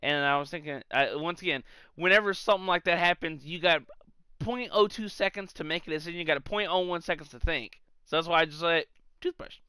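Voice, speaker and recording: Bright-voiced man swapping casual stories. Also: a little high, a little fast, smooth, dry recording. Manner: animated retelling, casual swearing. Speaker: podcast co-host. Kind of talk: casual comic anecdote chat.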